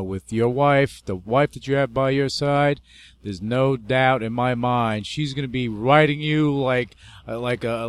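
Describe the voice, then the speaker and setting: A man's voice: medium pitch, fairly bright tone, smooth. A man hosting an interview, chatty and enthusiastic, laughing often. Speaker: interview host. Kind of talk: casual interview talk.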